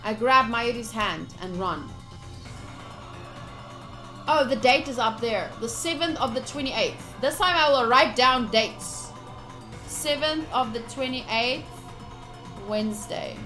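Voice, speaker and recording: A woman's voice: on the high side, expressive, takes it slowly, pauses often, pushed and bright. A woman streamer reading visual novel text aloud, with casual commentary of her own. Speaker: game streamer. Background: game music.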